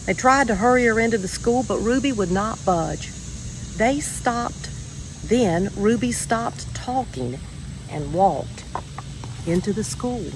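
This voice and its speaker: A woman reading a children's story aloud in a measured, expressive way with deliberate pauses. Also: mid-range voice, background noise. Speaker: storybook reader.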